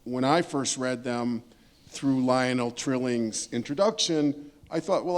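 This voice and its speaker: Male panelist, slightly high voice, thoughtful and emphatic literary discussion, unscripted. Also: darkish tone.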